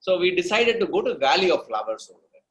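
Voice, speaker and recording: A man's voice: sits high, sing-song, fast talker, fairly bright tone. A man with an Indian accent giving conversational answers as an interview guest, teaching emphatically, repeating phrases and checking in with the listener. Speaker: guest speaker, storytelling coach.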